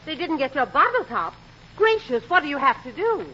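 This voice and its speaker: A woman's voice: high-pitched, sing-song, a little fast, pushed and bright, full character voice. A woman playing a fussy, fretful older aunt in a 1940s radio comedy. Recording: muffled old radio recording, faint audience.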